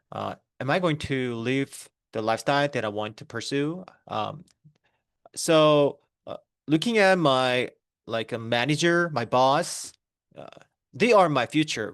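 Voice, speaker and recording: A man's voice: medium pitch, fairly bright tone, clean tone, no echo, studio quality. A man in his thirties with a Korean accent, giving an online business presentation with many fillers and restarts. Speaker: network marketing presenter.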